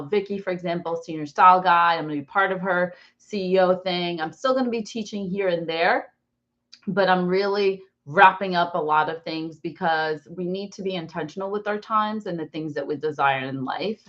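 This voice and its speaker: Muffled, low-voiced American woman teaching online. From born in Brazil, raised in New Jersey, US. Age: 40s. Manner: chatty, rambling, expressive. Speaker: online course instructor. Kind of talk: informal live online class.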